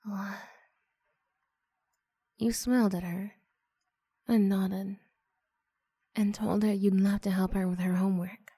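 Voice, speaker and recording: A woman softly narrating an ASMR roleplay story in the second person, often close to a whisper. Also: mid-range voice, flat delivery, slow pace, long pauses, husky, no room sound. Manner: soft, often near-whispered.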